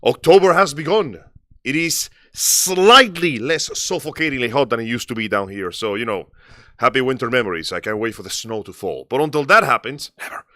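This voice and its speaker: A man from Cuba talks quickly in a bright, forceful voice as a show co-host. His chat is casual and rambling, recorded close and dry. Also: mid-range voice, lively intonation, dry recording.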